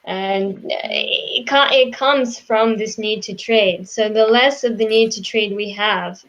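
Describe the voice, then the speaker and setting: A woman from Russia co-hosting a casual group discussion, talking quickly with frequent restarts and laughter. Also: medium pitch, fairly bright tone. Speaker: podcast co-host. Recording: dull lo-fi sound.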